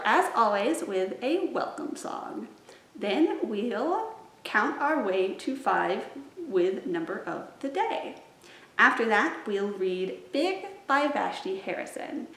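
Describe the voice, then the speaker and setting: A woman hosting a children's storytime vlog, chatting to viewers in an upbeat, enthusiastic way. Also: mid-range voice. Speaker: children's library storytime host. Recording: roomy, echoey indoor sound.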